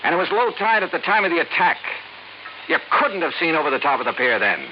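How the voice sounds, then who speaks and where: Hard-boiled American radio detective, swinging pitch. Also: male voice, sing-song, fast, a little hiss or hum. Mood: accusing. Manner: clipped, hard-boiled, dry. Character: tough, shrewd male freelance insurance investigator. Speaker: lead actor and narrator. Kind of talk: 1950s radio detective drama acting. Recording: muffled old radio recording with music.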